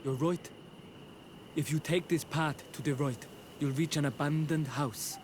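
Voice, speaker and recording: A man's voice: fairly high pitch, steady tone, unhurried, noisy background, lightly acted. A man playing a plain-spoken, helpful young villager in a video game. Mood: helpful, frank. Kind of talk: video game dialogue acting.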